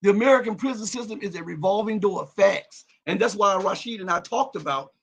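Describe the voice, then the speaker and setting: Gravelly male live-stream host, high-set voice, raspy and cracking at times, emphatic, mutters his asides. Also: a little fast. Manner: emphatic, repeats words and restarts, drops his voice to mutter asides. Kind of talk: live-stream commentary, reading viewer comments.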